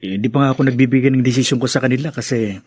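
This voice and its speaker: An actor playing a young, mild, placating husband and dutiful son in a Filipino radio drama, hesitant, with a Filipino accent. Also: male voice, medium pitch, racing, darkish tone, breathy edge, dry recording, studio quality, close to an everyday voice. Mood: sheepish, reluctant.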